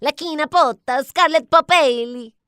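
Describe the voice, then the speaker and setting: Woman putting on Bob, a squeaky excitable little minion. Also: moderate pace, no echo, studio quality. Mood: sweet, eager. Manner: gleeful gibberish-laced exclamations. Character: Bob, sweet childlike little male minion creature, excitable, babbling. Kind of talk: children's storybook character voice.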